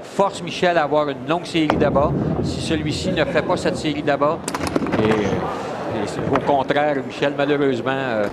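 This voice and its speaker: A man's voice: a little high, moderate pace. A man giving live sports commentary, hesitant and full of fillers and restarts. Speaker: color commentator. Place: shopping mall bowling event. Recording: loud crowd and noise behind.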